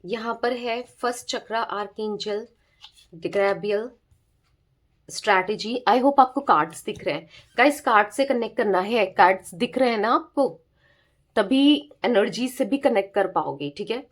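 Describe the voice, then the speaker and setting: A woman with a sore, hoarse throat giving a casual tarot reading, chatting with listeners and often checking in with them. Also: mid-range voice, lively intonation, moderate pace. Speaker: tarot reader.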